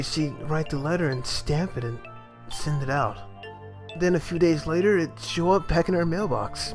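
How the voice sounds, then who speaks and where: A man's voice: fairly high pitch, close to an everyday voice. A man voicing an aloof, wistful young older brother in a game fan dub, talking in a casual, reflective way.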